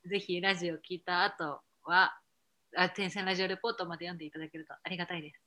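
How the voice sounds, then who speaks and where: A woman's voice: fairly low pitch, fairly even intonation, fast talker, pushed and bright. A woman co-hosting a podcast, talking in a chatty, colloquial and conversational way. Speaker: podcast co-host, blogger. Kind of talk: casual chat.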